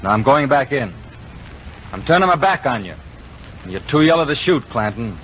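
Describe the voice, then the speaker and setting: A man's voice: mid-range voice, very animated, moderate pace, fairly bright tone. An American man acting a bold, unflinching Old West lawman in an old-time radio western, firm and taunting. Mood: defiant. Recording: muffled, hissy vintage radio recording.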